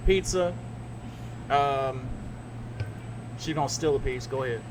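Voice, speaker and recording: A man's voice: medium pitch, a little slow, background noise. A man hosting a podcast, joking through a casual chat over food. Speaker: podcast host.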